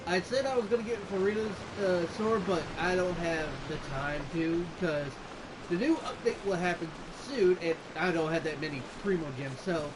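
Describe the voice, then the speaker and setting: A gamer giving casual, offhand, halting commentary on a playthrough. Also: mid-range voice, noisy background. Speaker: gamer narrating his playthrough. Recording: loud game music over voice.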